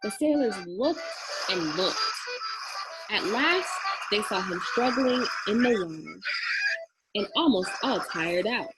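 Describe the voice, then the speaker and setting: A young adult woman reading a children's storybook aloud in an expressive, storytelling way. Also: medium pitch, slow, low-quality recording. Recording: music behind the voice. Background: music.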